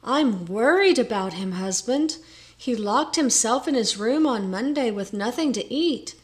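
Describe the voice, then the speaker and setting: A woman's voice: on the high side, lively intonation, measured pace. Woman playing a fretful, pleading young wife. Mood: feigned worry. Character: pretty young wife feigning anxious concern.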